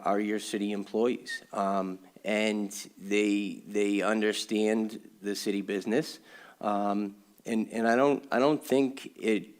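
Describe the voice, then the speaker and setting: A man speaking formally as a city councilor at a meeting, with a halting, hesitant delivery that repeats words. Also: fairly low pitch. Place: indoor public council meeting room.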